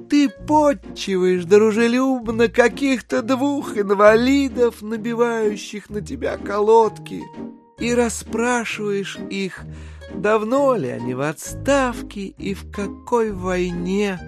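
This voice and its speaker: An actor playing a sly, self-amused gentleman schemer who muses aloud theatrically in an audio drama. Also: high voice, slow, soft background music. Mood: amused, imaginative.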